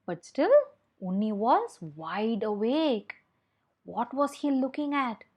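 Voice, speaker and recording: A young woman with an Indian accent telling a picture-book story to children, explaining as she goes and asking questions in an expressive way. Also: a little high, takes it slowly, breathy edge. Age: young adult.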